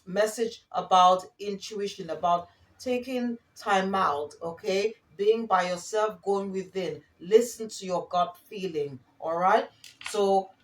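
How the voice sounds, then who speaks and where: Woman, spiritual card reader, unhurried and hesitant, roomy echo, distant microphone. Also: mid-range voice. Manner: hesitant, halting, often checking in with okay and all right. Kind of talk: spiritual card reading, partly read aloud, partly improvised.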